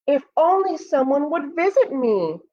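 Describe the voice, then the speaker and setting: A woman's voice: high voice, sing-song, unhurried, mellow, lo-fi sound, voice pushed up. A woman voicing a lonely, hopeful moon in a children's storybook, calling out in an inviting, wistful way. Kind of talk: children's storybook read-aloud.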